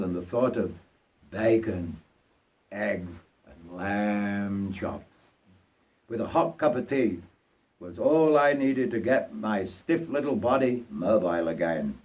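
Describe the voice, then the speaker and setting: An older man from North Yorkshire reading his own life story as narration, in a measured, folksy storytelling manner. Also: on the low side, darkish tone. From North Yorkshire, England.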